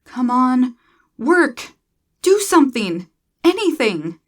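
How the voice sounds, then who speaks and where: A woman's voice: high voice, big pitch swings, takes it slowly, voice pushed up, exaggerated voice. A woman voicing a tough, anxious young female tribe leader in a dramatic sci-fi story, speaking with urgent emphasis. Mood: desperate.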